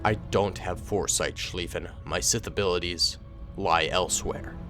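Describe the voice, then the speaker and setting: A man voicing a cold, irritated dark warlord in a fan space story, muttering his threats flatly. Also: normal speed, soft background music. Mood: irritated, menacing. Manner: low, flat, grumbling threats. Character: cold, menacing male Sith warlord, weary and irritated.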